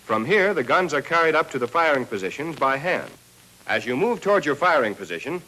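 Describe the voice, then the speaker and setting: Male narrator, American, 1940s army training film style. Scripted, instructional, mid-pitched, speaks straight to the trainee. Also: pushed and bright, poor audio. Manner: instructional, addressing trainees directly.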